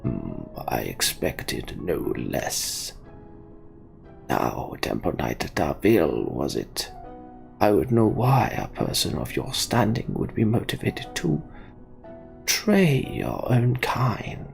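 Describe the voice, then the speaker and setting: A menacing demon count from a fantasy game, speaks in a very low, gravelly whisper. The delivery is slow and haughty, with cold disdain for those beneath. Music plays underneath. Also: male voice, wildly swooping pitch, full character voice. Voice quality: deep, breathy, growling whisper.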